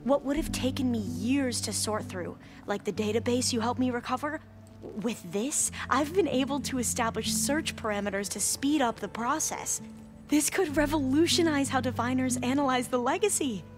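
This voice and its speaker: An actress playing an excitable young woman scholar in a video game, earnest and gushing, rambling as she goes. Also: high-pitched, lightly acted. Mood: keen, earnest. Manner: gushing, halting and self-correcting when flustered. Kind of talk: video game dialogue acting. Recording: loud music behind the voice. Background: music.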